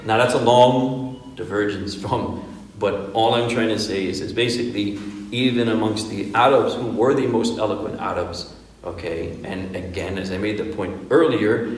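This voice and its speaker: A man, an imam, giving an informal Quran workshop lecture, conversational and full of rhetorical questions, with Arabic pronunciation shown along the way. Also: on the low side. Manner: conversational, asks rhetorical questions like "right?" and "okay?". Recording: echoey hall, speaker far from the mic.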